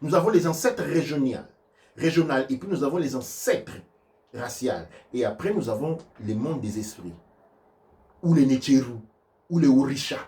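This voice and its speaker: A man teaching about ancestors and offerings, answering questions in a halting, emphatic way with many repeated words. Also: mid-range voice, animated, mellow, far from the mic. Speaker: spiritual teacher. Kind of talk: religious teaching, live Q&A.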